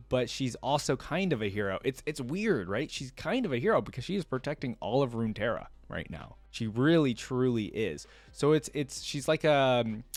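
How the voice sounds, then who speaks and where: A man's voice: medium pitch, no room sound. A man hosting a reaction video, giving casual, rambling commentary as he thinks aloud and checks in with the viewer. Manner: rambling, thinking aloud, seeks agreement with "right?".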